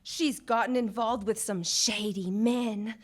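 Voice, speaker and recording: A woman acts out an ingenuous young dancer in a 1940s radio drama. She speaks in a high voice, earnest and naive, as a worried client would. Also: steady tone, takes it slowly. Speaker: actor playing the client. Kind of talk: 1940s radio play acting. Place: theatre stage before a studio audience.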